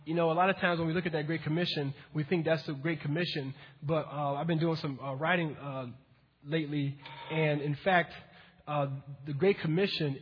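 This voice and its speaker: A man preaching a teaching sermon to his congregation, talking casually at first and building to emphatic, energetic preaching. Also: sits high, slightly rough. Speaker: pastor. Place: church hall with congregation. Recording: dull lo-fi recording in a reverberant hall.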